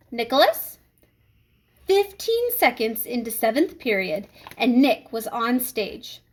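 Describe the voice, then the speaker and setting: A woman reading a children's book aloud with expressive storytelling phrasing. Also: high voice.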